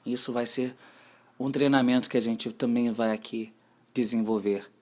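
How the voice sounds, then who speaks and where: Man, Brazilian Portuguese accent, guided mindfulness instructor, gentle deliberate delivery, long pauses, medium pitch. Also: fairly even intonation. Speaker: meditation instructor.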